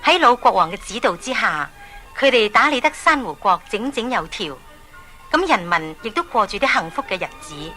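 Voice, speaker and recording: A woman's voice: mid-range voice, sing-song, normal speed, pushed and bright. A woman with a Hong Kong Cantonese accent narrating a scripted children's story. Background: music.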